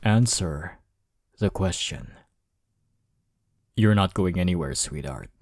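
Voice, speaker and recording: Deep-voiced, cocky flirtatious college rival acted by a man. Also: expressive, slow, slight background noise, full character voice. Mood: playful, teasing. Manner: smug, flirtatious, drawling teasing with soft chuckles. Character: cocky, teasing male college rival smitten with listener. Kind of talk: intimate romantic audio roleplay.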